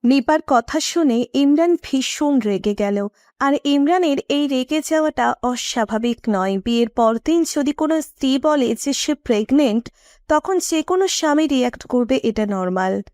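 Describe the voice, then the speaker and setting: A woman's voice: sits high, lively intonation, moderate pace, darkish tone, no room sound, studio quality. A woman narrating a scripted romantic story.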